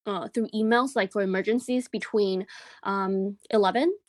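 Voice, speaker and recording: A woman whose first language is Chinese, talking casually as an interview guest, relaxed, with fillers and frequent laughs. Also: mid-range voice, moderate pace, no room sound, studio quality. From China. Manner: easygoing, many fillers, laughs often. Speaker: guest, business co-founder.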